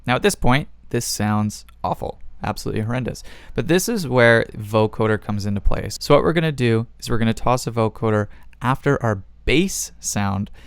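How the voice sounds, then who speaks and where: A man's voice: mid-range voice, animated, moderate pace, slight background noise. A man hosting a music production tutorial, explaining things step by step in a casual way.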